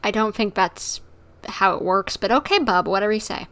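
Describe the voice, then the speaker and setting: A woman's voice: mid-range voice, expressive, moderate pace, fairly bright tone. A woman livestreaming a game, giving casual, playful and chatty reactions. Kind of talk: casual live commentary over a game.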